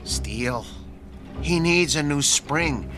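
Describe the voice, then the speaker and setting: A jittery, worried sidekick who pleads and stammers in a fairly high voice. His pitch swings widely as he frets. Dramatic music plays underneath this radio play. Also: lively intonation, slow pace. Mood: anxious. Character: anxious, pleading male sidekick.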